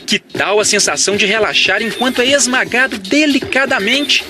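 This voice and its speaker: A man's voice: very high-pitched, normal speed. A man narrating a scripted news feature as a TV reporter. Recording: loud music bed under voice.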